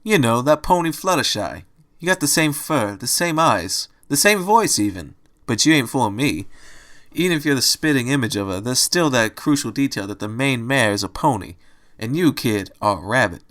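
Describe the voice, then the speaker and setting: A man voicing a gruff, bossy streetwise bunny in a storybook, with an Italian accent and brash slangy talk. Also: medium pitch, animated, moderate pace, fairly bright tone, close to an everyday voice. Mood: suspicious. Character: gruff bossy streetwise male bunny, tough-guy temper.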